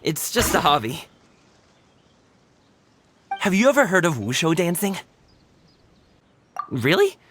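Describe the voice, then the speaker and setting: Game casting: friendly young male guard, upbeat and helpful, bright forceful tone pitched high. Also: sing-song, slow, pauses often, slight background noise, heavily acted. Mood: reassuring. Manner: upbeat, breezy, reassuring. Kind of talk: animated game dialogue.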